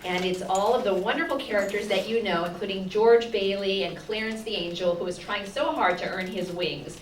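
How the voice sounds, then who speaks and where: A woman's voice: medium pitch, moderate pace. A woman who leads a class, giving an upbeat, informal welcome speech to a live audience in an auditorium. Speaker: class leader introducing a live show. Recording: echoey hall from far off, faint noise.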